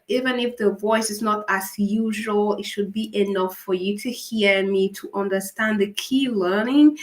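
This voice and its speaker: A woman with a raspy voice from a cold, hosting a live writing-coaching session, upbeat and encouraging, asking viewers many questions. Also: mid-range voice, rough recording. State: fighting a cold. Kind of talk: live-stream coaching talk.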